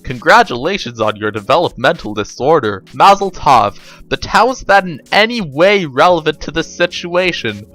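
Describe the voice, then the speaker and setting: Man playing a sardonic, pedantic know-it-all, bright voice. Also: on the high side, sing-song, normal speed, hardly any pauses, soft background music. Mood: sarcastic. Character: wordy, sarcastic know-it-all who grudgingly explains things.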